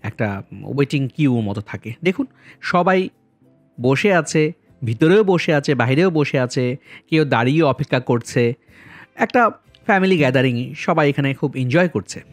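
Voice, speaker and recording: A man narrating a vlog as its host, politely and in measured phrases, speaking directly to viewers. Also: medium pitch, animated, no echo. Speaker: travel vlogger and host. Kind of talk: vlog voice-over narration with review commentary. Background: background music.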